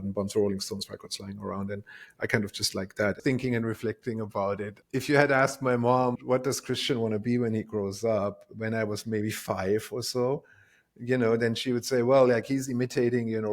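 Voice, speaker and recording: A middle-aged man from Germany with a German accent, talking casually and reflectively as an interview guest, hesitant and self-deprecating as he repeats words. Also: lowish voice. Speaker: interview guest, tech company co-founder.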